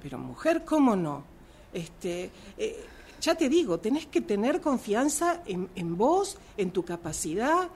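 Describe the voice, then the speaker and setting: A woman's voice: medium pitch, sing-song, a little slow, darkish tone. A woman playing a supportive, insistent friend in a radio drama, with an Argentine accent and hesitant, coaxing phrasing. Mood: soothing. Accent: Argentine Rioplatense. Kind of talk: radio drama workshop dialogue. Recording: noisy amateur workshop recording.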